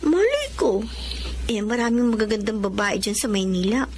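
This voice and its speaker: A woman's voice: on the high side, sing-song, normal speed, darkish tone, slight background noise. A woman acting as a sweet, shy, devoted young student wife in a radio drama. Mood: sweet, teasing. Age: young adult.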